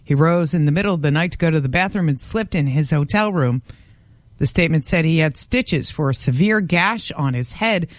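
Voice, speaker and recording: A woman's voice: low-pitched, expressive, a little fast, no room sound. Matter-of-fact female radio correspondent. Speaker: news correspondent. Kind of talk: radio news report.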